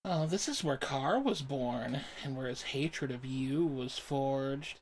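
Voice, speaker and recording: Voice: medium pitch, animated, takes it slowly, a little hiss or hum. A game streamer chatting casually and commentating for a livestream, with music underneath. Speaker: game streamer and fandub host.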